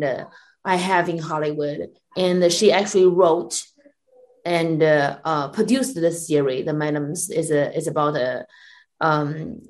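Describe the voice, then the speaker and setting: A woman with a Chinese accent talks candidly from her office over a video call. She is blunt and swears freely, with plenty of fillers. Her voice sits on the low side. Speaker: comedian and actress, interview guest. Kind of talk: casual interview chat. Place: her office at a comedy club.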